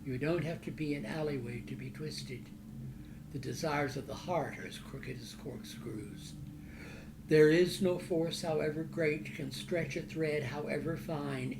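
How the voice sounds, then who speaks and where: A man reading literary prose aloud as its author, in a declamatory, dramatic and deliberate manner. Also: fairly high pitch. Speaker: author reading from own novel. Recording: roomy echo, loud background noise.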